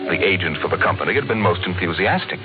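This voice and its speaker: Grave male sci-fi narrator, low measured voice with sweeping inflection, tinny vintage radio sound. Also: animated. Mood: dramatic, measured. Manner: measured, theatrical, deliberate delivery. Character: grave, sardonic omniscient narrator of science fiction. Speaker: announcer and narrator. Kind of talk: 1950s radio drama narration. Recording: tinny old radio broadcast, loud music.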